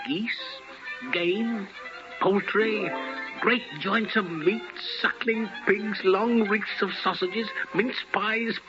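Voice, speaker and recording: A man narrating a Christmas tale as a theatrical 1950s radio storyteller. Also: high voice. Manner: theatrical, relishing lists. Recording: dull old radio recording with music.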